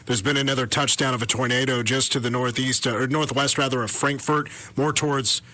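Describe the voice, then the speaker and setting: A male radio co-host with a bright, pressed voice at an average pitch gives unscripted live storm commentary. He thinks aloud, often halting and correcting himself as he goes. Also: fairly even intonation. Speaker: radio weather coverage co-host.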